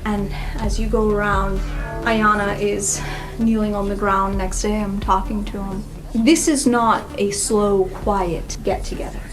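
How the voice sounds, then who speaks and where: A woman's voice: mid-range voice, little pitch movement, a little slow, over music, low-quality recording. A woman working as game master, narrating an improvised tabletop role-playing game.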